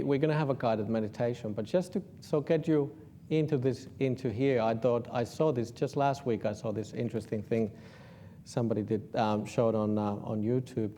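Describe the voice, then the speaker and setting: A man from Finland with a Finnish accent, a Buddhist monk leading a guided meditation in an informal, gently joking way with soft, slow guiding phrases. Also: mid-range voice, slight background noise.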